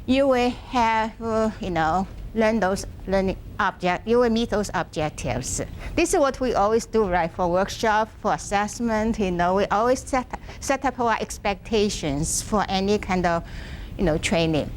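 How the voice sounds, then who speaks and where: A soft-spoken woman presenting a university workshop, speaking haltingly with restarts, seated in a classroom without a microphone. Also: mid-range voice, a little hiss or hum. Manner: halting, frequent restarts and fillers. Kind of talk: academic workshop lecture. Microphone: no microphone, room sound.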